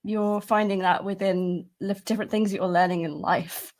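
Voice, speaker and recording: A woman's voice: mid-range voice, fairly even intonation, moderate pace, a little mellow. A woman hosts a casual interview, speaking in an encouraging, upbeat way with frequent giggles. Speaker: host.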